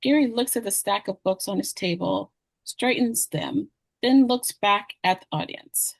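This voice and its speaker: A woman's voice: mid-range voice, a little slow, creaky, no echo. A woman reading stage directions as the narrator of a screenplay table read.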